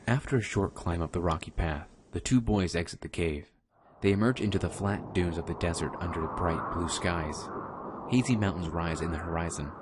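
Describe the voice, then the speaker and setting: A man's voice: deep voice, normal speed. A man giving scripted dramatic narration for a radio play. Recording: clearly noisy background ambience.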